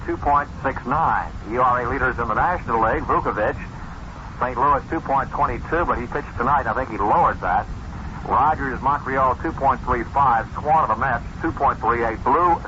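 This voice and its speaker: A man reading out baseball statistics on 1970s radio in a clipped, list-like way. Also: medium pitch. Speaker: baseball broadcaster. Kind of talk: radio sports statistics rundown. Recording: dull, noisy 1970s radio broadcast.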